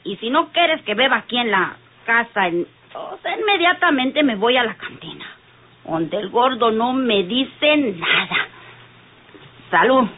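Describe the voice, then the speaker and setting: A woman's voice: fairly high pitch, animated, measured pace, slightly rough. An actress playing a stubborn, tipsy older rural Mexican woman in an old radio soap, drawling and defensive. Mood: defiant. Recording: tinny band-limited old radio drama.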